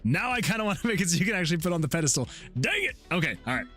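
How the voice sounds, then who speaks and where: Higher-voiced man chatting excitably over music. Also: very fast. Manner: excitable, rambling, thinking out loud, chuckles mid-sentence. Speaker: indie game developer hosting a livestream. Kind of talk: casual chat with stream viewers while working. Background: background music.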